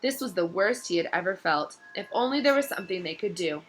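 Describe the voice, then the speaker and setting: A woman narrating a storybook aloud in an expressive read-aloud style. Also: fairly low pitch, brisk, soft background music.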